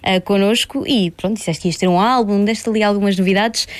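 A woman's voice: mid-range voice, fairly even intonation, brisk, no echo. Woman interviewer in a dry room. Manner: friendly, curious, asks follow-ups. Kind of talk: casual interview questions.

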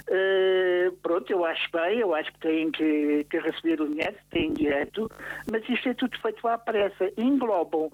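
An older man from Lisbon, speaking with a European Portuguese accent, calls a radio show and speaks in a halting, earnest way with many hesitations. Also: high-pitched. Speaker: radio caller. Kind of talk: call-in radio complaint. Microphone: telephone. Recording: phone line.

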